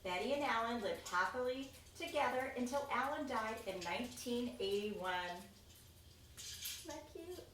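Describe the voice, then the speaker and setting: Echoey, lively woman reading a picture book. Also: a little high, unhurried. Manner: expressive, chatty, pointing out pictures. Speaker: reader of a children's picture book. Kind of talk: read-aloud storytelling with casual asides. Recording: echoey room, distant mic, faint noise.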